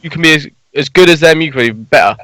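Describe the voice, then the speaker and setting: A man's voice: fairly high pitch, fast, sharp tone, no room sound. A young man chatting casually on a gaming podcast, hesitant and hedging with frequent restarts. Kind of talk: casual esports podcast chat.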